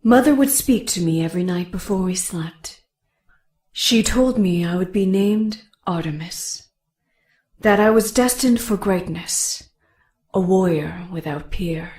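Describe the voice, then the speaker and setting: A woman's voice: fairly low pitch, a little slow, a little mellow, slightly rough, no echo. A woman voicing a fierce, proud, hot-tempered young Amazon warrior in a motion comic, blunt and dramatic. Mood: solemn, bitter.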